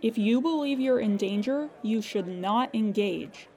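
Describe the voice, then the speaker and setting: A woman's voice: fairly high pitch, unhurried. A woman acting as a weary but patient emergency hotline operator in an audio comedy drama, polite and dryly professional. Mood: firm, reassuring. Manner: calm customer-service politeness with dry exasperation.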